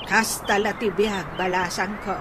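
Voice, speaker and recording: Woman playing slow-talking, grumbling Ilocano housemaid. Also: medium pitch, steady tone, close to an everyday voice. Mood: grumbling, gossipy. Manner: halting, peppered with sighing interjections. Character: aggrieved, nagging older housemaid owed her wages. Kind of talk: Ilocano radio comedy drama acting. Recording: noisy background with ambient bird sounds.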